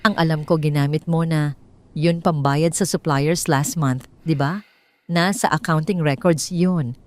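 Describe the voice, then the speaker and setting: A woman voicing a calm betrayed wife who feigns innocence and tenderness in an audio story. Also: deep voice, a little slow. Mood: feigned innocence.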